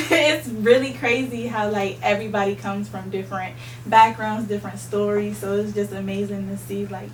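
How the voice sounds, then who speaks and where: A woman's voice: medium pitch, fairly even intonation, normal speed, distant mic, a little hiss or hum. Friendly young woman host in an echoey room. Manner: friendly, laughs often. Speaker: podcast host. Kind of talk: casual interview chat.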